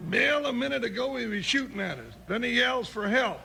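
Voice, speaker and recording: A high, creaky cowboy sidekick. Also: male voice, lively intonation, brisk, loud music behind the voice, full character voice. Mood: puzzled, earnest. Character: sleepy, folksy cowboy sidekick, good-natured worrier. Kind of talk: 1950s radio western acting. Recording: noisy old radio recording.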